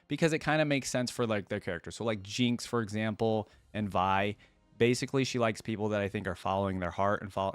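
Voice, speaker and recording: A man's voice: fairly low pitch, clean tone, dry recording. A man giving casual, musing commentary as a reaction video host. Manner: informal, musing, conversational.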